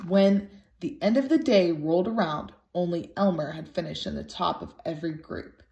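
A woman reading a children's picture book aloud expressively and deliberately, as a storytime teacher. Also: lowish voice, vocal fry, a little mellow. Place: small room at home, indoors. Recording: roomy home recording.

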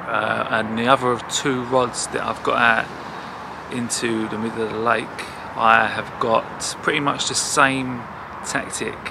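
A man talking casually about fishing in a relaxed, chatty way, outdoors by a lake. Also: medium pitch, unhurried, vocal fry. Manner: relaxed, chatty, with fillers and laughs. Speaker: angler vlogger. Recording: noisy outdoor background.

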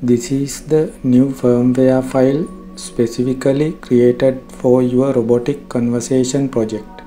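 A man narrating a scripted software tutorial in a formal, measured, step-by-step way. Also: medium pitch, level tone. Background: background music.

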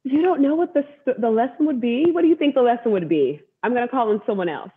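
Animated woman hosting children's story time. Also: a little high, fast, slightly rough. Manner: encouraging, teacherly. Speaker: author and event host. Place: room at home, indoors. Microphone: video call, wireless earbud. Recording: dull video-call audio.

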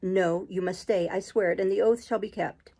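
A woman voicing a brooding middle-aged gentleman, the master of the house, speaking low and earnestly in an audiobook of a classic novel. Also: steady tone, moderate pace, husky. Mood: firm.

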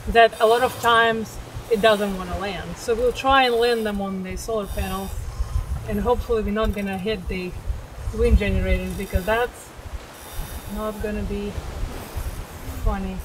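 A woman's voice: medium pitch, fairly even intonation, moderate pace, pauses often, noisy background. Giggly young woman on a sailboat. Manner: giggly, chatty. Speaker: sailing vlog co-host. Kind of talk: casual vlog talk. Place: outdoors on a sailing catamaran at sea.

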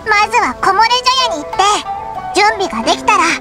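A woman voicing a tiny childlike fairy companion in a video game, with a squeaky voice and blunt, tomboyish, childish speech. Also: expressive, fast talker. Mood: upbeat. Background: prominent background music.

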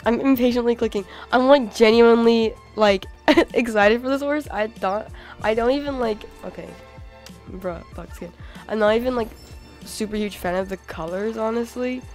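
Excitable young woman with a cold. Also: a little high, moderate pace, soft background music. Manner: excitable, many "oh my god"s and "like"s. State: hoarse from a cold. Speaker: gaming channel host. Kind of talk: casual gameplay commentary.

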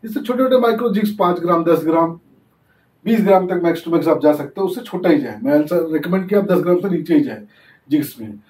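High-voiced man teaching from a distance. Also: expressive, fairly quick, darkish tone. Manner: explains while demonstrating, mixing in English terms. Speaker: fishing channel host. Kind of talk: casual tutorial demonstration. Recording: echoey, distant from the mic.

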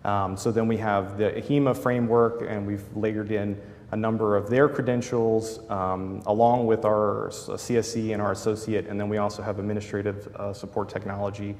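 A man in his thirties with a boomy voice, explaining his work as a panelist at a conference, with many fillers. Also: little pitch movement, normal speed, darkish tone. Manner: gestures broadly while explaining, many fillers. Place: large conference hall. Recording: hall reverb, faint room noise.

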